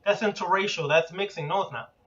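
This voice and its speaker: A man's voice: sits high, fast, a bit bright. A man of Puerto Rican heritage giving a blunt, profane lecture on occult practice, firing rhetorical questions and cursing for emphasis. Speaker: occult teacher. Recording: distant, muffled lo-fi recording.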